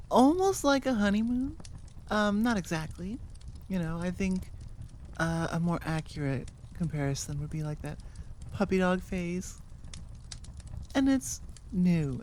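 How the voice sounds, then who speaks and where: A woman's voice: on the low side, a little mellow, a little hiss or hum. A woman chatting casually and unscripted as the host of her audio channel, rambling in a playfully self-mocking way. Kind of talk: casual unscripted outro chat.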